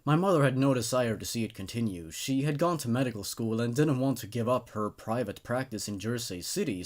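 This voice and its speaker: A man hosting and reading stories aloud in a scripted narration style. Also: mid-range voice.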